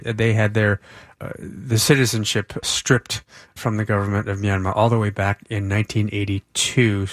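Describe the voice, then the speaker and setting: A man's voice: on the low side, no room sound, clean studio sound. A man hosting a news interview on the radio, asking questions and summarizing. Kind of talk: broadcast interview.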